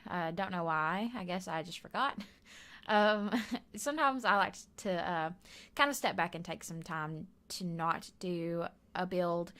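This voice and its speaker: Hoarse woman rambling over gameplay. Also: medium pitch. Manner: rambling, many fillers, soft laughs. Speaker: gaming video creator. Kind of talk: casual voiceover over a speed build video. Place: bedroom at home.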